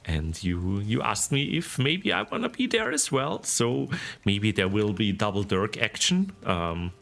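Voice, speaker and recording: A man from Austria with a deep baritone voice and an Austrian accent, chatting casually and giggling as he hosts a game livestream. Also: unhurried, vocal fry. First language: German. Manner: giggly, expressive. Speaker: streamer hosting a game stream. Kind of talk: casual livestream chat while gaming.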